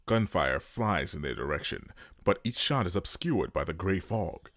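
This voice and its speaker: A man's voice: deep voice, big pitch swings, moderate pace, a bit bright. A man reading scripted dramatic narration as the storyteller of an audio drama.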